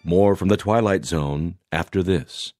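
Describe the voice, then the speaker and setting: A man's voice: sits low, a little slow, a little mellow, soft background music. A man reading scripted radio credits as a polished, formal announcer.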